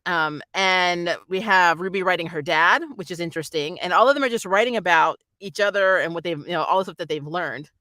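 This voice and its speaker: A reviewer with a bright, forward voice, recorded close and dry with almost no room sound. The delivery is casual and conversational, with animated emphasis, like a keen fan hosting an episode review. The pitch sits in a middle range. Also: brisk, hardly any pauses, no room sound. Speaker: host of an anime review channel. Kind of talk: casual spoiler review chat.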